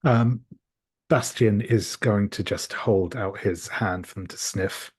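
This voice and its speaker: A man's voice: fairly low pitch, takes it slowly, darkish tone, breathy edge. A man playing a tabletop game, describing things casually and hesitantly as he thinks aloud.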